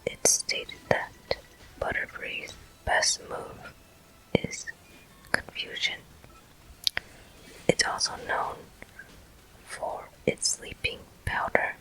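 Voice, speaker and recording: A person whispering ASMR game commentary in a deliberate manner, pausing between short phrases. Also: sharp tone, rough recording. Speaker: gameplay host.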